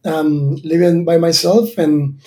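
A man's voice: a little high, fairly even intonation, slow, darkish tone. A man in his thirties from Argentina, a startup founder answering interview questions thoughtfully, with many fillers and restarts. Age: 30s.

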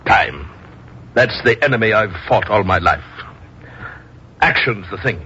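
An actor playing a bluff, slyly hypnotic military general in a 1950s radio drama, musing in clipped, repeated phrases. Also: male voice, lowish voice, expressive, a little slow, sharp tone. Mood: bluff, regretful. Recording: dull, noisy old radio recording.

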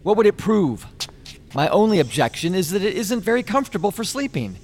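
An actor in a radio drama playing a reasonable, stubbornly brave skeptic in a measured, conciliatory manner. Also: male voice, high-pitched, very animated, darkish tone, slight background noise. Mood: reasoning.

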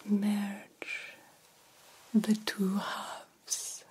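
Vampire doctor, female, played by an actress: stern yet encouraging mentor for whispered ASMR roleplay, extremely slow, hypnotic delivery. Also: mid-range voice, monotone, a little mellow, husky, exaggerated voice. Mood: intent, encouraging. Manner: slow, deliberate, hypnotic guiding with formal wording.

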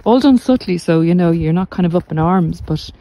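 Mellow-voiced middle-aged woman. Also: on the low side, expressive, brisk. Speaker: podcast host and narcissistic abuse recovery coach. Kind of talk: reflective advice podcast, talking informally to listeners. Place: walk outdoors along the coast.

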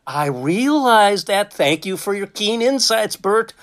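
A man playing a gruff, sardonic small-town drinking man in a radio play. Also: high voice, very animated, takes it slowly, fairly bright tone, heavily acted. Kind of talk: radio drama acting.

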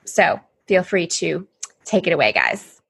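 A woman leads a relaxed podcast conversation, her voice slightly husky. She sounds upbeat, laughs easily and talks with many fillers. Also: lowish voice, measured pace, rough recording. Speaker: podcast host. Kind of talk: casual podcast discussion.

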